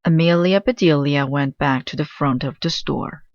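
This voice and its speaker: A woman narrating a children's storybook aloud in a measured, deliberate way. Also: low-pitched, dry recording, lo-fi sound. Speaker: storybook reader.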